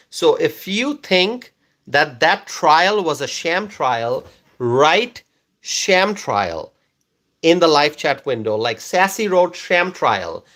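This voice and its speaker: A man from Bangladesh, a native Bengali speaker, hosts a live stream and reads out viewer comments one by one. His voice sits a little high, and he talks slowly, repeating phrases as he coaxes viewers to respond. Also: fairly bright tone. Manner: slow, repetitive, coaxing viewers.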